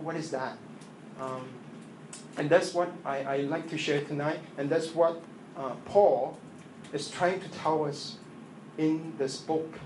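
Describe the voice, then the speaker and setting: A man whose first language is Chinese, preaching a sermon in English after an opening prayer, halting and earnest with many fillers and restarts. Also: on the high side, darkish tone. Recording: echoey room with faint ambient noise.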